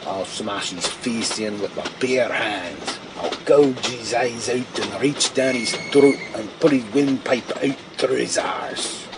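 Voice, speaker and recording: Slow-talking mad Scottish thug. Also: male voice, medium pitch. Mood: savage eagerness. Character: mad, violent Scottish henchman. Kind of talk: audio comedy drama acting. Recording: clear vehicle noise behind.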